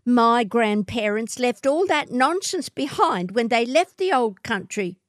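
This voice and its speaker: A woman voicing a haughty, snobbish young outback woman in a storybook reading, with a shrill, sneering, theatrical delivery. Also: animated, a little slow, no echo, clean studio sound. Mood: scornful.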